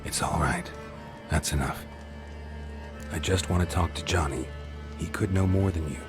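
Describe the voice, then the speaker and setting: A man playing a stoic, gruff monster hunter in a video game, speaking in terse, restrained, gravelly lines. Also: sits low, moderate pace, music in the background. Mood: calm, guarded. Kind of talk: video game cutscene acting.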